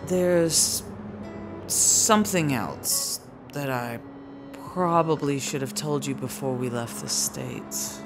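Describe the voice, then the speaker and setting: An actress playing a guarded woman traveler with something to hide, low-key and hesitant, in a radio drama. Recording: music under the dialogue.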